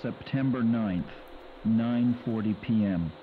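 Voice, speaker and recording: Slow, solemn man on cassette. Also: on the low side. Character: solemn man dictating a taped diary. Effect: old cassette tape filter. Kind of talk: video game voice acting, recorded journal. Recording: dull, noisy cassette tape playback.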